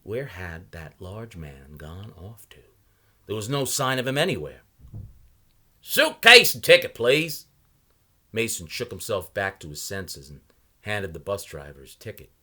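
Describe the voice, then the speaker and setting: A man reading a story aloud as expressive scripted narration. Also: low voice, normal speed, slightly rough. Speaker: audiobook reader.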